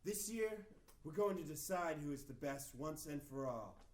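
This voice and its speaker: A man's voice: fairly high pitch, expressive, moderate pace, voice pushed up, natural voice. A man voicing a cocky, taunting villain, the boss of a rival wedding DJ crew, at a comedy table read. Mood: loud boasting. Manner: taunting, swaggering. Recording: echoey room, distant mic, faint noise.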